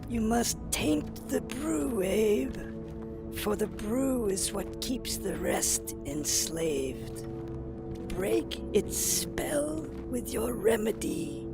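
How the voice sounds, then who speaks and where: An ancient female alien sage in a game cinematic. She speaks extremely slowly and solemnly, with a mournful, swelling delivery that moves widely in pitch. Loud music plays under her voice. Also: very animated, exaggerated voice. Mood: urgent, grave. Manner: grave, deliberate, prophetic.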